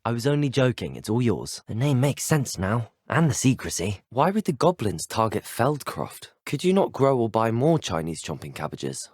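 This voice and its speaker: An actor with a British accent playing a polite, earnest, curious teenage wizard student in a video game, speaking in a well-spoken, measured manner. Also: male voice, medium pitch, sing-song, darkish tone, dry recording, clean studio sound. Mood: polite, inquisitive. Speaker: video game player character. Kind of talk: scripted game voice acting.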